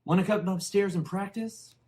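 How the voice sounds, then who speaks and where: A man's voice: high-pitched, steady tone, normal speed, darkish tone, pitched above the performer's own voice, close to an everyday voice. A man voicing a spoiled, bullying, whiny young boy in a storybook reading. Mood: taunting.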